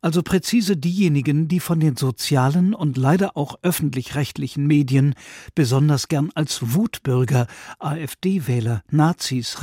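A man reading quoted book passages aloud on radio in an emphatic, deliberate way. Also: fairly high pitch, warm tone, husky, no room sound, studio quality. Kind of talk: dramatic reading of quotations.

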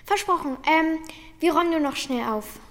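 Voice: high voice, moderate pace, fairly bright tone, a little hiss or hum. A young performer playing a frank, resolute girl with a blunt, reassuring manner in a German children's radio drama. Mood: innocent. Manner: blunt, matter-of-fact, reassuring. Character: frank, resolute girl, the boy's protective companion.

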